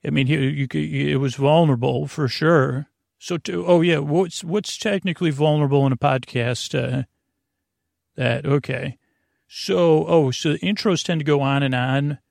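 A man hosting a bedtime podcast with a creaky, gravelly voice, meandering and halting in a goofy, self-deprecating monologue that keeps going off topic. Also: fairly high pitch, darkish tone, no echo, studio quality. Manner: meandering, halting, goes off topic mid-sentence. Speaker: podcast host.

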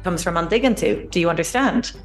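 A young Irish woman speaks passionately as a podcast guest, with a slightly low voice. She talks fluently and with animated enthusiasm, and faint music sits behind her. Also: fast. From Ireland. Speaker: Irish language teacher, guest. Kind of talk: conversational interview.

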